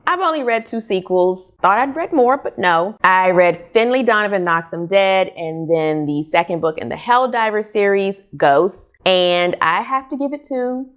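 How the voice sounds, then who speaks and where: A woman with a slightly low voice hosts a casual book vlog. She talks in an expressive, conversational manner, putting emphasis on her opinions as she reviews. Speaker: book vlogger, host. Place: small furnished living room, indoors.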